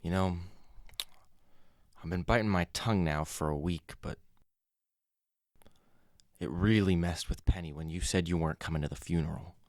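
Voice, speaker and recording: An actor playing an earnest, educated brother-in-law who speaks in a measured, careful way, in a naturalistic radio drama. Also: male voice, low-pitched, darkish tone, no echo, clean studio sound. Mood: reproachful, frank. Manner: measured, careful, well-spoken.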